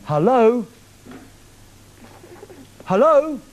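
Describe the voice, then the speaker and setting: A man's voice: very high voice, big pitch swings, very slow, slight background noise, rough recording. A man hosting a live TV show, teasing a caller on the phone with cheeky questions. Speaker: TV presenter. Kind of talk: live TV prank phone call. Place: TV studio.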